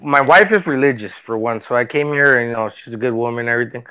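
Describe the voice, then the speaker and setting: A man in his forties from Mexico talks casually on a podcast panel. His voice sits at an average pitch, and he tells personal stories in a rambling way, circling back over his words. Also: fast, bright, forceful tone, smooth. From Tijuana, Mexico. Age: 40s. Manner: rambling, repeats himself. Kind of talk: casual chat, personal storytelling.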